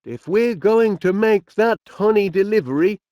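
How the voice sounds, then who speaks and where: A man's voice: sits high, expressive, measured pace, warm tone, no room sound, muffled recording. A man playing a cheery, eccentric middle-aged inventor in a cartoon video game, with a Northern English accent and a dotty, genial, musing manner. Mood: cheerful, chatty.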